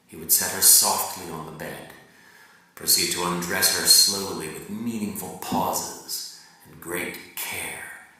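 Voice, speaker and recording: A man's voice: deep voice, fairly even intonation. Echoey, distant man giving a dramatic reading. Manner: theatrical, deliberate pauses, swinging from hushed to emphatic. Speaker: reader performing a novel excerpt. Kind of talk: dramatic literary reading as a raconteur's monologue. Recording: echoey room, distant from the mic.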